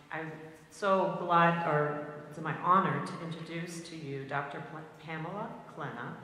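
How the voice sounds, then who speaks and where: A middle-aged woman conductor with a loud voice, chatting with fillers as she introduces a guest from a concert hall stage. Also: low-pitched. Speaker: band conductor. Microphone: mic on a stand. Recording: roomy concert hall reverb, faint hiss.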